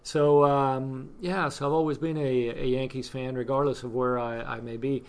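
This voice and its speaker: A middle-aged man from Cuba, talking in a relaxed interview. He hesitates often, with many fillers and restarts. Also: medium pitch, moderate pace, clear, resonant tone. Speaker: guest, scouting volunteer. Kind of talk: casual interview chat.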